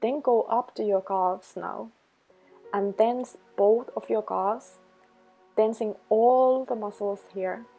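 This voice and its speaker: A woman leading a guided meditation, giving instructions in slow, measured phrases. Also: mid-range voice, warm tone, low-quality recording. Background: background music.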